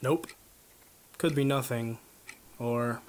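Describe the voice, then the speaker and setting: A man's voice: mid-range voice, takes it slowly, lightly acted. Man acting an amiable, overworked 1950s detective. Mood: thoughtful. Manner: hesitant, self-effacing, amiable. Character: overworked, modest, friendly new male homicide detective, 1950s. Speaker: actor in a radio drama. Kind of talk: noir radio drama acting.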